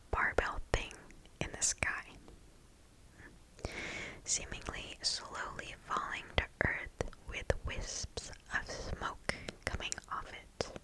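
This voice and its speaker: ASMR storyteller, female. Hushed whisper throughout, unhurried and deliberate, right up on the mic. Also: rough recording. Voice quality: breathy unvoiced whisper. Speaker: ASMR host reading listener stories. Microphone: close microphone.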